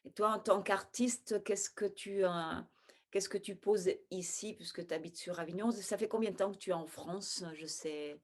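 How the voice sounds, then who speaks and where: A woman's voice: medium pitch, steady tone, normal speed. A woman hosting an interview on a video call, asking questions and encouraging her guest with many short replies. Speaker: host. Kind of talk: casual interview.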